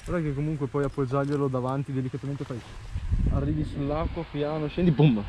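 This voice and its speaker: A man's voice: on the high side, fairly even intonation. Young man giving fishing tips outdoors. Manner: coaching and boastful, quick directions. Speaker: fishing vlogger. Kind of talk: casual outdoor fishing chat. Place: outdoors by a rural canal. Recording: outdoor camera audio with clear background noise.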